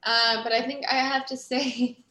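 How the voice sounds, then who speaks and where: An enthusiastic woman from Switzerland with a fairly high voice, chatting casually as a guest in an interview. Her manner is giggly and eager, and the sound has a clear room echo. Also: little pitch movement, measured pace, pushed and bright. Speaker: guest, actress and producer. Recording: roomy, echoing sound.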